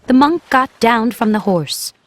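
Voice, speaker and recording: A woman narrating a scripted children's story in a measured, expressive storyteller's manner. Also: fairly high pitch, slight background noise.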